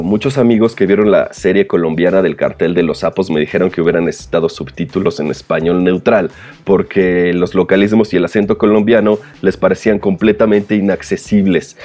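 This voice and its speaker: A man's voice: deep voice, mellow. A man with a Mexican accent, speaking as a podcast host in a conversational, analytical and somewhat hesitant way. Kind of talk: conversational commentary with prepared points.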